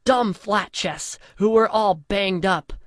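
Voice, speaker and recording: A storyteller giving a comedic reading of a crude parody fan story in a mock-epic way, shouting the dialogue and dropping low for the asides. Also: slow pace, dry recording, studio quality.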